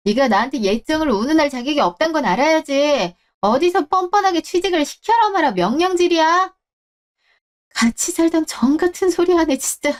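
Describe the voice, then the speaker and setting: A woman's voice: high voice, expressive, moderate pace, voice pushed up. A woman voicing a divorced company boss in a radio drama, scornful and scolding.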